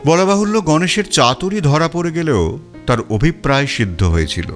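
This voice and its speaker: Man narrating a detective story, lively intonation. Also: fairly high pitch, fairly quick, faint music behind. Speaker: audiobook narrator. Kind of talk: scripted first-person detective story narration.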